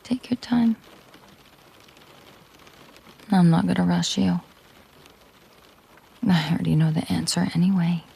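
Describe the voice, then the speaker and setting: A woman playing a possessive, menacing mafia boss girlfriend in an intimate audio roleplay, speaking in a controlled, hushed, deliberate way with a breathy, husky voice. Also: fairly low pitch, full character voice. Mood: quietly menacing. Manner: slow, deliberate, hushed, often breathy near-whisper.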